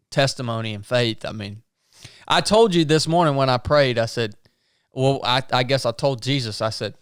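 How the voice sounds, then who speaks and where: A man's voice: medium pitch, clean tone, no echo, clean studio sound. A man hosting a podcast, talking in an earnest, folksy, casual way and often tagging his sentences with "man". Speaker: podcast host. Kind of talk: casual interview chat.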